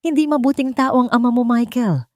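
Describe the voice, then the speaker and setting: A woman's voice: a little high, a little fast, a little mellow, no echo, clean studio sound, voice pushed up, lightly acted. A woman voicing an elderly, guilt-ridden housemaid in an audio drama, hesitant, bitter and pleading. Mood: bitter grief. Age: older. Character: frightened, guilt-ridden elderly housemaid confessing dark secrets.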